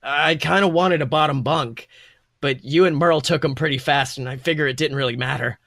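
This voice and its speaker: A man's voice: brisk, gravelly, a bit bright, no room sound. Man playing an earnest, sheepish guy, dry sound. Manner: hesitant, plain-spoken, low-key. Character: earnest, gentle, sheepish man, awkwardly friendly. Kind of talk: fan fiction read aloud with character voices.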